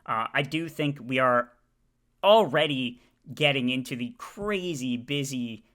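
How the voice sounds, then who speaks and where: A man giving casual commentary as he ranks flags, talking in a halting way with many filler sounds and restarts. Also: mid-range voice, animated, a bit bright. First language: English. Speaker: video creator ranking flags.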